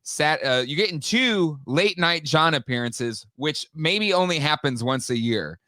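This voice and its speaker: A man's voice: on the high side, lively intonation, unhurried, pushed and bright, no echo. Upbeat male co-host, a bit stuffy-nosed, casual rambling delivery in a dry, close room. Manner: chatty, upbeat, many fillers and restarts. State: slightly nasal from a cold. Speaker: co-host of a live toy show. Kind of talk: casual live-stream chat.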